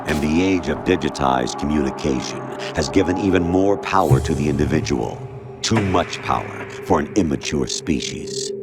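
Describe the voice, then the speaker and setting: Game villain, condescending AI guardian of mankind, extremely low voice, measured lecturer, over prominent music. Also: male voice. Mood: cold, accusing. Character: cold, condescending male artificial intelligence, self-appointed guardian of mankind. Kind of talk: dramatic game cutscene acting.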